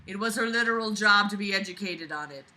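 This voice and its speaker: A woman with a very bright, forceful voice reads a book aloud and picks it apart as she goes. She is sarcastic and openly exasperated, swearing freely and breaking off into theatrical, scornful asides. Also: medium pitch, quick pace, poor audio. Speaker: reader reviewing a book aloud. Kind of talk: reading a book aloud with exasperated running commentary.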